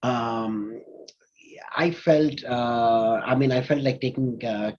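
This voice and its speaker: A man's voice: medium pitch, slow, a little mellow, lo-fi sound, a little hiss or hum. A man in his forties from India, a company CEO, talking in a casual interview with many fillers and restarts. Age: 40s.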